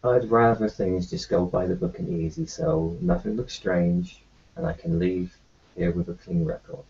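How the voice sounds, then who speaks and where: Gravelly low-voiced man, calm and reassuring. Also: moderate pace, muffled recording. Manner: calm, reassuring, matter-of-fact. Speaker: tabletop roleplay player. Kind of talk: in-character roleplay talk.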